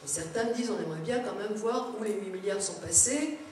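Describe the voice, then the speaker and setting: Very reverberant room, distant mic, female conference speaker, explanatory, informal asides, mid-pitched voice. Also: a little fast, a little mellow. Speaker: conference speaker, local government adviser. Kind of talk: public lecture to elected officials. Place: large echoing indoor seminar room. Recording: very echoey room, distant mic, background noise.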